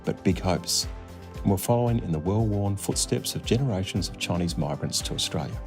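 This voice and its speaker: A man's voice: sits low, animated, brisk. A man hosting a show, reading polished scripted narration and asking guests questions. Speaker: host. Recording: music playing underneath.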